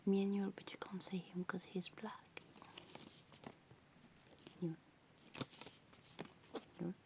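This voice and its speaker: A woman with English as a second language, whispering softly in a rambling ASMR show-and-tell full of fillers. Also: medium pitch, little pitch movement, slow pace, noisy background. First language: not English. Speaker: ASMR creator.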